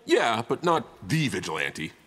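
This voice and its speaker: A brash, casual video game vigilante, with an extremely animated delivery. The voice rings with long reverb over a noisy background. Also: male voice, medium pitch, unhurried. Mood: brash, cocky. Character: brash, foul-mouthed, casual male vigilante. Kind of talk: fighting-game character dialogue.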